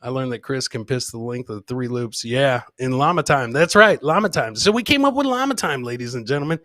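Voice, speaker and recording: A man's voice: mid-range voice, lively intonation, a little fast, bright, forceful tone, no room sound, clean studio sound. Rambling, sweary man hosting a comedy podcast. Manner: rambling, swearing, showman-like addresses to audience. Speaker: podcast host. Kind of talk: casual live show banter.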